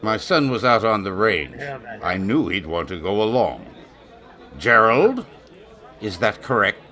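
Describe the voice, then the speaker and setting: A man's voice: fairly low pitch, very animated, a little slow, bright, forceful tone, some chatter behind, exaggerated voice. A man acting a cold, hard major in a western radio drama, clipped and authoritative. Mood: cold, commanding. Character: cold, hard, commanding major leading a posse.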